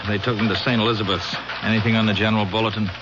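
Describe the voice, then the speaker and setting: An actor playing an easygoing police investigator in a radio drama, talking in a laconic, matter-of-fact way. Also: male voice, lowish voice, little pitch movement, rapid-fire, natural voice. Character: easygoing, modest male police investigator reporting to his lieutenant. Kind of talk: 1970s radio drama acting. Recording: muffled noisy old radio drama recording.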